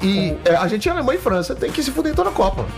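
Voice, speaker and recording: A man's voice: high-pitched, sing-song, racing, creaky, loud music behind the voice. A man on a radio panel in casual comic banter, slangy and opinionated. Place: radio studio.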